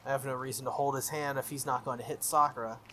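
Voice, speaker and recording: A video game player reading a visual novel aloud, with expressive, casually sweary commentary. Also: mid-range voice, fast, slight background noise.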